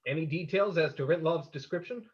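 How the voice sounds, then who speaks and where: An actor playing a calm, decisive detective hero in an old-time radio drama, brisk and authoritative, on a dull recording. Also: male voice, a little high, breathy edge.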